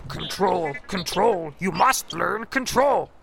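Croaky ancient little alien master. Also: male voice, high-pitched, lively intonation, crowd noise, heavily acted. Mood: calm, instructing. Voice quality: gravelly, croaky. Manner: inverted word order, slow deliberate speech. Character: ancient small wise male alien Jedi master, stern mentor. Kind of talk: audio drama acting.

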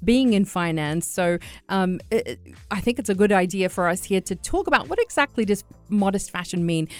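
A woman's voice: medium pitch, darkish tone. A woman hosting a radio interview show, introducing and questioning a guest in an enthusiastic way with some fillers. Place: radio studio.

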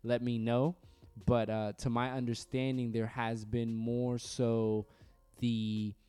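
A man from New York in casual podcast talk, his voice warm, mellow and smooth. He speaks in a relaxed, conversational way and hedges his opinions as he goes. He sits in a room across from his co-host. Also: medium pitch, slow, faint music behind.

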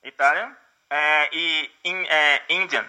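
A man's voice: on the high side, fairly even intonation, a little slow, sharp tone, rough recording. A Brazilian man with a Portuguese accent, a guest learning English, speaking hesitantly and searching for words. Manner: hesitant, many fillers and restarts, searching for words. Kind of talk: casual conversation.

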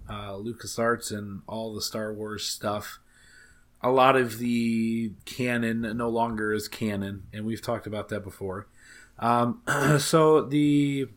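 A man with a raspy voice hosting a podcast, talking casually and rambling with many fillers. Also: mid-range voice, a bit bright. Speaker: podcast host.

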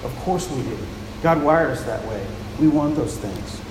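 A man preaching a sermon, soft-spoken and often repeating words as he restarts a thought, after reading scripture aloud. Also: medium pitch, lively intonation, normal speed. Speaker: pastor. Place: church with a large echoing room. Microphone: handheld mic. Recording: echoey, reverberant hall with noise.

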